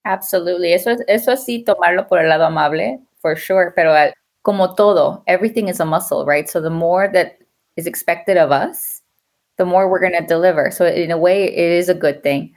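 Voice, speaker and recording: A woman from Mexico with a somewhat low voice, guesting on an interview podcast. She talks earnestly about her roots and slips easily into Spanish phrases. Her delivery is measured and sincere. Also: darkish tone. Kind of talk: interview conversation.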